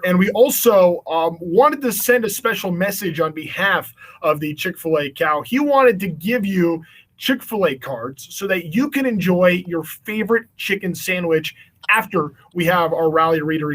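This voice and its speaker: A man's voice: high voice, a bit bright. A man with an American accent hosting a children's online event in an upbeat, chatty style. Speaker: event host.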